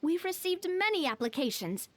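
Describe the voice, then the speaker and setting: An actress playing a cheerful, poised young park organizer in video game dialogue, with a polished, enthusiastic way of explaining. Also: female voice, extremely high pitch, big pitch swings, normal speed, dry recording. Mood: upbeat, poised. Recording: faint game music behind voice.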